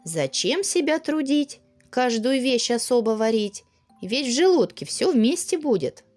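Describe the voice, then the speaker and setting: A spoiled, lazy young girl from a storybook acted out by a woman, whiny and dismissive. Her voice lifts high and swings freely, with faint music behind. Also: big pitch swings, a little slow, a little mellow. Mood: lazy scheming. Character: lazy, haughty, self-pampering young girl.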